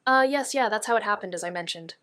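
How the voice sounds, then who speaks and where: A woman playing a wry, wary young amateur detective in a noir podcast drama, with a deadpan, sardonic way of talking. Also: mid-range voice, fast talker, no echo, clean studio sound, close to an everyday voice. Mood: guarded. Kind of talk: first-person noir podcast drama narration and dialogue.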